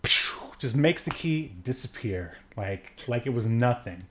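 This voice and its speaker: Male podcast co-host, mid-pitched, easygoing and joking, talking casually through a film. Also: lively intonation, measured pace, a crowd in the background. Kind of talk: casual movie recap chat.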